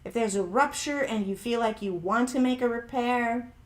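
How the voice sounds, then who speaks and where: A woman's voice: a little high, normal speed. A middle-aged woman hosting a podcast who sounds younger than her age, talking in a reflective, conversational way and often hedging what she says. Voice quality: youthful-sounding voice. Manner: reflective, conversational, hedging with "allegedly" and "if you will". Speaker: podcast host. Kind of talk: casual commentary podcast, reading viewer comments.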